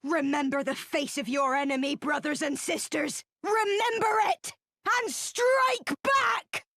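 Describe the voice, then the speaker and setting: A zealous rebel woman in a fantasy game, very high-voiced and slow, declaiming against the nobles. She is impassioned and grief-stricken, and the recording is dry and close. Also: a bit bright, no room sound. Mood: fervent rallying. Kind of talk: theatrical fantasy game oratory.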